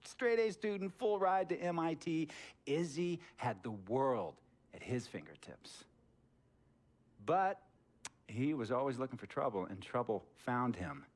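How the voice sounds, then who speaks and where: Theatrical, preachy rich boss. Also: male voice, mid-range voice, big pitch swings, measured pace. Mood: reflective. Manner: theatrical, storytelling, swinging from hushed to emphatic. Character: eccentric wealthy sports boss, preachy, slick, controlling man. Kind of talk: dramatic game cutscene monologue.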